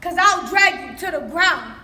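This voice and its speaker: Woman as brash teen girl, mouthy, slangy, very high bright voice, stage play. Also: expressive, normal speed, full character voice. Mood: threatening. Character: brash, aggressive teenage sidekick girl. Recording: echoey stage.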